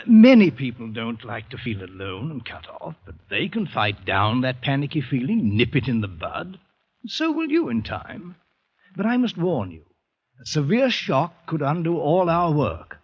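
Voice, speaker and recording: A lively, bluff, fatherly doctor. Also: mid-range voice, a little slow, slightly rough. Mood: firm, reassuring. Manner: bluff, clipped sentences. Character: brisk, jovial, fatherly army doctor. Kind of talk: old-time radio drama acting. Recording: dull, lo-fi old radio recording.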